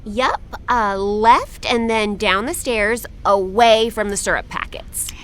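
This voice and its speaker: A woman's voice: medium pitch, takes it slowly, a bit bright. A woman playing an awkward, earnest ship's first mate, stiffly polite and blunt, in an audio drama comedy.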